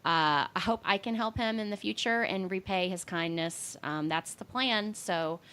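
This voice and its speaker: A woman's voice: mid-range voice, moderate pace, fairly bright tone. A woman co-hosting a podcast from her home office, chatting casually and rambling with many fillers and the odd swear. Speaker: podcast co-host. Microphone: home podcast mic.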